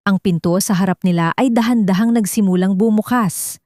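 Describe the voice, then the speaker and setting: A woman's voice: medium pitch, brisk, a little mellow, no room sound, studio quality. A woman with a Filipino accent reading scripted dramatic narration for an audio love story.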